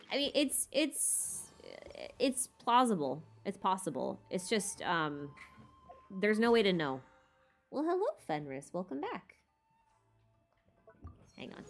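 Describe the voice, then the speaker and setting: A woman hosting a casual livestream Q&A, talking informally and hesitantly as she thinks aloud. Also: medium pitch. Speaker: livestream host answering viewer questions. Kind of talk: casual livestream Q&A chat about game lore.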